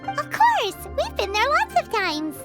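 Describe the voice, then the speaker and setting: A woman voicing a tiny, chirpy, excitable fairy girl companion with a childlike manner in a video game. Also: extremely high pitch, unhurried, loud music behind the voice, heavily acted. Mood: excited. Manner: childlike, speaks of herself in third person.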